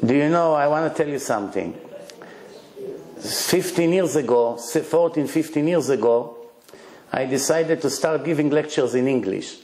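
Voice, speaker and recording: Blunt Israeli rabbi lecturing in echoey room. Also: male voice, a little high, normal speed, darkish tone. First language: Hebrew. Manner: blunt, emphatic, short punchy phrases, rhetorical questions. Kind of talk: lively religious lecture to an audience.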